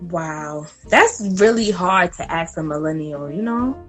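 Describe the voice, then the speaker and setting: A woman in her late twenties chatting casually as a podcast guest, animated and laughing, sometimes talking straight to the listeners. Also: fairly low pitch, unhurried, faint music behind. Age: 20s.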